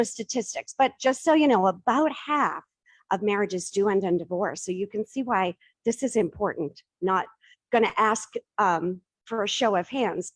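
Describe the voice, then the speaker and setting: A woman's voice: mid-range voice, slightly rough, no room sound, rough recording. Chatty middle-aged woman, dry close sound. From Boston area. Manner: chatty, self-deprecating, asks the audience questions. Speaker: startup pitch presenter. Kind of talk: casual public talk to a live audience.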